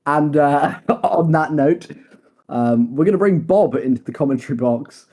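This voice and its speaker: A young man hosting a mock launch webcast, joking and often pausing with fillers. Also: fairly high pitch, mellow. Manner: joking, hesitant with many fillers. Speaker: webcast host.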